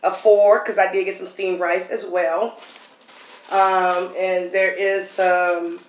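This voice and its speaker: A woman's voice: lowish voice, level tone, slow pace, a little hiss or hum. Congested chatty woman vlogger. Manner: chatty, storytelling, restarts and coughs. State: congested, wheezing a little. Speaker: food vlogger. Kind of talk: casual mukbang chat to camera. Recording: echoey room.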